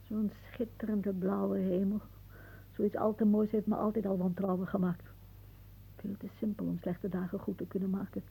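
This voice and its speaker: An actress playing a sardonic, rambling old aunt in a radio play, given to suggestive musings and muttering. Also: female voice, medium pitch, flat delivery, normal speed, vocal fry, breathy edge. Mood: grumbling. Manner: muttering musings, then calling out loudly. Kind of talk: theatrical radio play acting. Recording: narrow, tinny band like old radio.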